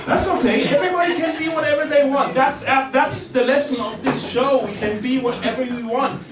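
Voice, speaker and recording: A man's voice: very high-pitched, brisk. A man hosting a comedy show, teasing the audience and chuckling often between lines. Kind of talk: stand-up crowd work. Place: comedy venue with a live audience. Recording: echoey room, mic far off, loud crowd.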